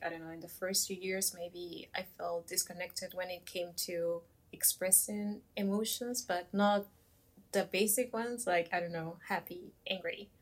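A young woman from Peru chatting casually about her feelings, thinking aloud with frequent fillers. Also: lowish voice. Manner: thoughtful, many fillers and hedges. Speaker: discussion participant. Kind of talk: casual conversation.

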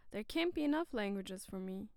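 A woman's voice: medium pitch, lively intonation, a little fast, creaky, smooth, dry recording, studio quality. A woman from Germany co-presenting a scripted educational dialogue in an articulate, formal, explanatory way. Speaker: co-presenter in a discussion on bilingualism.

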